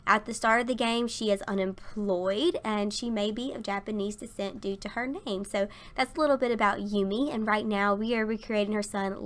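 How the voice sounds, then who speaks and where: A young woman chatting casually through a game voice-over, her voice a little raspy from a sinus infection. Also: medium pitch, a bit bright. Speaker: gaming YouTuber.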